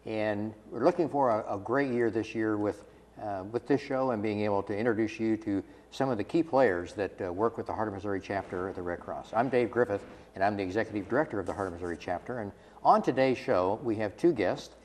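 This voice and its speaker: A man's voice: fairly low pitch, brisk, darkish tone. A man hosting a local TV interview show, chatting friendly with frequent fillers and restarts. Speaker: host, chapter executive director. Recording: echoey studio with faint hiss.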